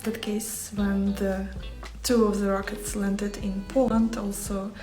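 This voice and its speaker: A woman's voice: mid-range voice, flat delivery, slow, dark tone, reverberant, faint music behind. A woman from Ukraine with a Ukrainian accent, chatting casually and a little hesitantly to livestream viewers as she talks about her craft. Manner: hesitant, searching for words. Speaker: embroidery artist hosting a livestream. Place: small home workspace indoors.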